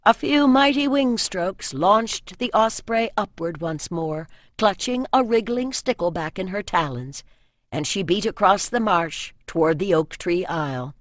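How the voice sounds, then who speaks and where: A woman reading scripted fantasy narration as an audiobook narrator, with measured storytelling. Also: mid-range voice, lively intonation, no echo, clean studio sound.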